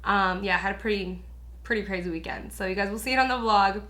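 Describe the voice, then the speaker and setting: A young woman chatting through a makeup tutorial with a raspy, hoarse voice, gushing and peppering her talk with filler words. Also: mid-range voice, fast, a bit bright. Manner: gushing, chatty, lots of "like" and "you guys". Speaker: beauty vlogger. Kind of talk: casual makeup tutorial chat.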